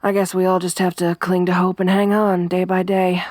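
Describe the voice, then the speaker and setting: A young woman voicing a tough, tomboyish survivor companion in an ASMR roleplay, speaking softly with a wry, weary warmth. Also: lowish voice, flat delivery, normal speed, no room sound, clean studio sound, lightly acted. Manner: soft-spoken, wry, quietly reflective.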